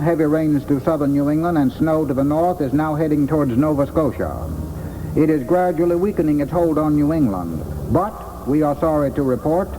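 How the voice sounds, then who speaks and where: An American man reading a scripted weather forecast for an old radio broadcast. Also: a little high. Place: airport weather office. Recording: old radio relay with faint noise.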